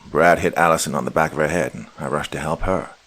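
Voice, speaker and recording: A man playing a nervous young man who explains anxiously. His deep voice stays close to a plain reading. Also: fast, fairly bright tone, breathy edge, full character voice.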